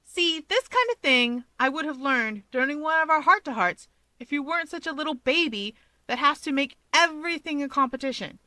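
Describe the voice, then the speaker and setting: A woman's voice: high voice, expressive, a little fast, sharp tone, dry recording, voice pushed up. A woman voicing a cocky, teasing young male hero with a breezy, dramatic, argumentative manner in a story reading. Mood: indignant.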